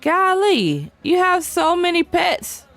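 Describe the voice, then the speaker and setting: A woman's voice: extremely high pitch, big pitch swings, slow, a bit bright, soft background music. A young woman streaming a game, chatting casually and reading chat aloud in slangy, playful talk. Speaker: VTuber streamer. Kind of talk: casual live-stream chat while gaming.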